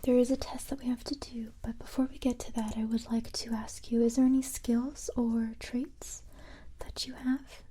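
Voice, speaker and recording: A woman voicing a calm, sympathetic female special-forces recruiter in a soft-spoken ASMR roleplay, gentle and reassuring in a breathy whisper. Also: on the high side, moderate pace, a little hiss or hum, lightly acted. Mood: calm, encouraging. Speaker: ASMR creator playing a roleplay character.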